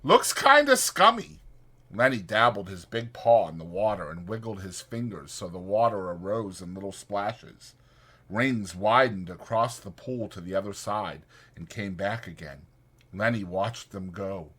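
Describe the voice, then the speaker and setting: A man narrating a literary novel as a dramatized audiobook, reading deliberately and expressively. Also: sits low, a bit bright, breathy edge.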